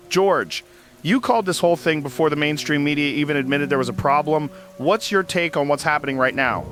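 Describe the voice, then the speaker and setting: An actor playing an excitable, folksy talk radio host with an informal, punchy manner. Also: male voice, fairly high pitch. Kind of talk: talk radio hosting, audio drama.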